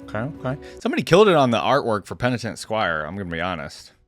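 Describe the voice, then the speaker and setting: A man's voice: fairly low pitch, wildly swooping pitch, rapid-fire, soft background music. A man hosting a game reaction video, commenting casually and thinking aloud in an informal, conversational way.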